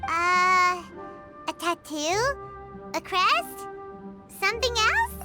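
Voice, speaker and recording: A woman voicing a tiny, boastful, childlike girl guide in a video game, squeaky and eager, with music behind her. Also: very animated, very slow, exaggerated voice. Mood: puzzled. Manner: exclamatory, eager, refers to herself in third person. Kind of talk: video game cartoon-like acting. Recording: loud game music under the voice.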